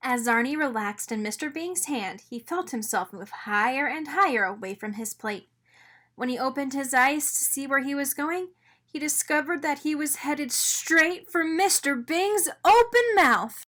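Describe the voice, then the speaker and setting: A woman reading a children's storybook aloud in an expressive narrating style. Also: high voice, unhurried. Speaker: storybook reader.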